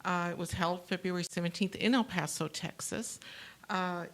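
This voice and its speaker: A woman hosting a panel discussion, her voice low and gravelly. She talks haltingly, with many fillers and restarts. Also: steady tone, fairly bright tone. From New Mexico, USA. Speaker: talk show host.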